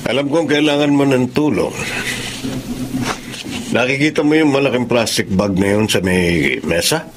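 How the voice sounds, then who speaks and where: A man playing a calm, generous, well-off benefactor in a Tagalog radio drama. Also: mid-range voice, moderate pace, long pauses, lightly acted. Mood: kindly, assured. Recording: noisy radio drama recording.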